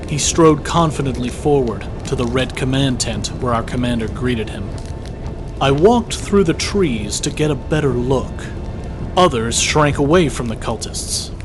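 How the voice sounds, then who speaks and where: A wary, wry soldier, played by a man, tells his story in a medium-pitched voice. It is first-person fantasy audio drama narration, with clear music running behind the words. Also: expressive, a little slow, close to an everyday voice. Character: wary Imperial soldier recounting what he secretly watched.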